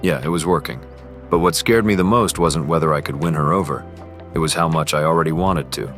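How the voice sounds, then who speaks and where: A man's voice: rumbling low, a little fast, a bit bright. A man playing an earnest, privileged teenage boy who confides and narrates in an amateur romance drama. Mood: reflective. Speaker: character and first-person narrator. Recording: music behind the voice.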